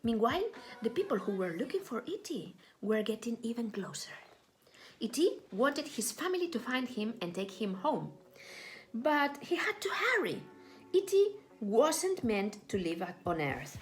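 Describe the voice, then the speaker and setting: Slow, very animated woman reading a storybook. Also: a little high, faint music behind. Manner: deliberate, dramatic phrasing for children. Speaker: storybook reader. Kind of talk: expressive read-aloud children's story narration.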